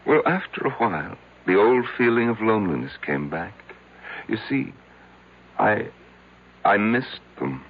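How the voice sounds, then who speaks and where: An actor playing a lonely, haunted older man confessing a cruel secret in halting phrases with dramatic pauses, in old-time radio style. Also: on the low side, animated, husky, heavily acted. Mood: hesitant. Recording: dull lo-fi vintage radio, music behind.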